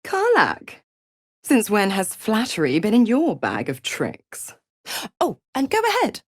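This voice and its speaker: A woman's voice: mid-range voice, huge pitch swings, unhurried, breathy edge, no room sound, clean studio sound, heavily acted. An actress playing a seductive, scheming female devil in a video game, with a teasing, sardonic, theatrical and condescending drawl. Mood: wry, goading. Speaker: video game character actor.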